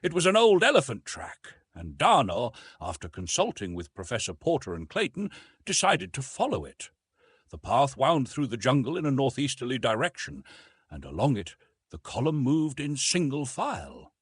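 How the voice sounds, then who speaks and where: A man narrating a classic adventure novel in an expressive, theatrical storytelling manner. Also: mid-range voice, moderate pace, husky, no room sound, clean studio sound. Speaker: audiobook narrator.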